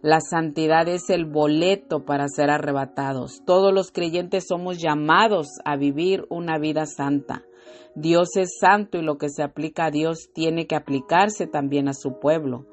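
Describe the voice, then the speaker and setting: A woman with a low voice delivers a slow, fervent devotional sermon, sprinkling in hallelujahs and amens. Her throat sounds slightly irritated. Soft instrumental music plays faintly underneath. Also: fairly even intonation. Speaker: preacher. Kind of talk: devotional sermon with scripture reading.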